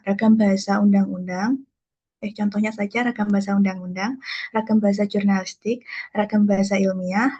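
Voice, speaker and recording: A young woman with a lilt walks classmates through her part of a lesson, in a relaxed explanatory way with little verbal tags. Her voice is husky and breathy, and the audio comes through muffled and lo-fi. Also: medium pitch. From Kediri, East Java. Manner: casual explanatory, fillers like gitu. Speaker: student presenter. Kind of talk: online class presentation.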